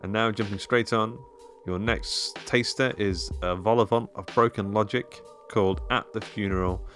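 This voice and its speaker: Low-pitched male podcast host. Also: fairly even intonation, moderate pace, a bit bright, soft background music. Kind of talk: scripted introductions, florid wording.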